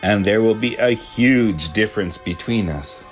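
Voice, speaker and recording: A man's voice: lowish voice, unhurried, lo-fi sound, faint music behind, natural voice. A man voicing a cocky, suspicious young office salesman in a manga dub, boastful and dismissive. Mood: smug. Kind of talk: manga dub character acting.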